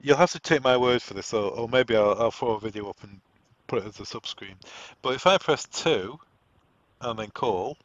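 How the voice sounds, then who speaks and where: A man giving an informal software setup walk-through, explaining conversationally and thinking aloud as he goes. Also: mid-range voice, normal speed, dry recording. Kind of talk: informal software tutorial narration. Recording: dull, lo-fi, dry close recording.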